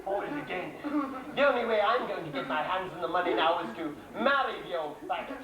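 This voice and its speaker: A man's voice: high-pitched, animated, fairly quick, over a noisy crowd. A man playing a scheming, boastful wicked-uncle villain in an amateur stage pantomime, recorded from far off in a theatre. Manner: mocking, boastful, wheedling. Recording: roomy theatre sound from far off.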